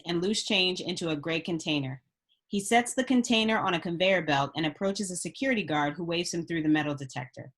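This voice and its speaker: Lowish-voiced woman narrator. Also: fairly bright tone. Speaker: stage directions reader. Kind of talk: scripted narration.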